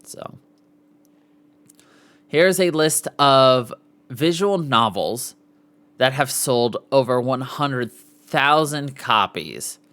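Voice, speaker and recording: Casual male podcast host, slow and animated. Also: mid-range voice, clear, resonant tone. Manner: stumbles over foreign names, sounding them out. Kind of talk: casual live podcast, reading aloud from lists and articles.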